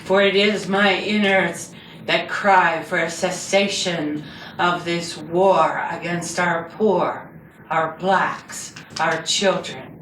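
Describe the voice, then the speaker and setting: A woman reading her own poetry aloud live, with measured, deliberate and dramatic phrasing. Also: on the low side, a bit bright. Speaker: poet reading own work. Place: indoor reading before a group. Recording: roomy, distant mic with background noise.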